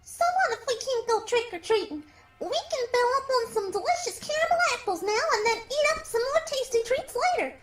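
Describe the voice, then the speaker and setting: A woman's voice: very high voice, animated, normal speed, rough recording. A woman voicing an eerie undead filly pony, sweetly coaxing in a piercing childlike voice for a horror story. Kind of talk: dramatic horror fan fiction reading.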